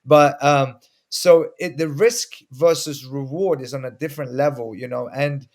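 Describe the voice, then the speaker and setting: A middle-aged man from Sweden talks earnestly in a casual interview. His voice sits at an ordinary pitch, and he often restarts his sentences as he explains things through stories. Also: measured pace. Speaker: guest, martial arts instructor.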